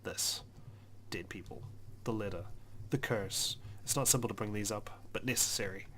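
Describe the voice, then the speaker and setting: A man reading a video game's story aloud as a let's player, in an expressive, dramatic narrating style. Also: fairly low pitch, normal speed, slight background noise. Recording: music behind the voice.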